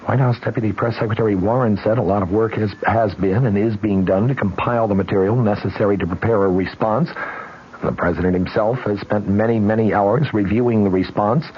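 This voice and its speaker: An American man reading a scripted 1970s radio news report in a measured, formal newsreader delivery. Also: lowish voice, steady tone. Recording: dull, noisy old radio recording.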